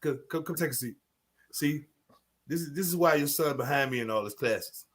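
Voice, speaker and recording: A man's voice: a little high, normal speed. A man on a podcast panel chatting casually with teasing, profane wisecracks. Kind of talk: casual chat.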